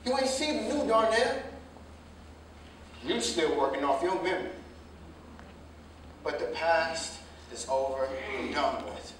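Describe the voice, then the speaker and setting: A man's voice: fairly high pitch, expressive, unhurried, long pauses, fairly bright tone. A man acting an earnest, defensive young working man pleading his case in a staged drama reading, with emphatic, impassioned vernacular delivery. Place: live staged reading, recorded from a distance indoors. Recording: very echoey room, distant mic, faint noise.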